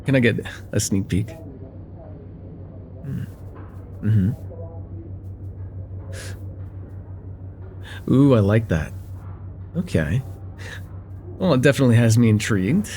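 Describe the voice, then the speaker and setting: A man playing a friendly young college guy, lively pitch swings. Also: measured pace, pauses often, slight background noise. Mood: curious, playful. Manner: casual, hesitant, teasing, with soft chuckles and hums. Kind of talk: slice-of-life ASMR roleplay, one-sided conversation with the listener.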